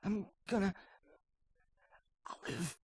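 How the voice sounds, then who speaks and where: An actress playing a gravely wounded, frightened woman agent in a game cutscene, weak, breathy and speaking in broken phrases. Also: mid-range voice, expressive, slow pace, darkish tone, no room sound. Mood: strained, clinging. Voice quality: breathy, faint. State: weak and dying from a gunshot. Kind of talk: video game cutscene acting.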